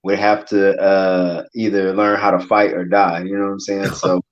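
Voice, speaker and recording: A man's voice: low voice, flat delivery, normal speed. A man hosting a casual conversation, asking follow-up questions. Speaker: podcast host. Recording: dull lo-fi sound.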